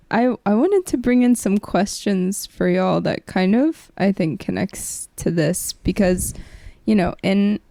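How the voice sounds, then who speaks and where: A woman speaks with noticeable vocal fry and a soft, mellow tone at a middling pitch. She is a podcast panelist laying out ideas in a measured, reflective, academic manner, recorded dry with no room sound. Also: no echo. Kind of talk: thoughtful academic discussion.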